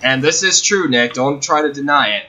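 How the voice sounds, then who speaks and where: Bright-voiced young man, rambling storyteller. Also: fairly high pitch, lo-fi sound. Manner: rambling, halting, self-correcting. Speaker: video host. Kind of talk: casual personal storytelling.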